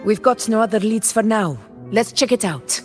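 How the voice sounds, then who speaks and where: A woman's voice: on the high side, normal speed, lightly acted. An actress playing a composed, decisive young female warrior in a video game. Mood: calm, resolute. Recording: music behind.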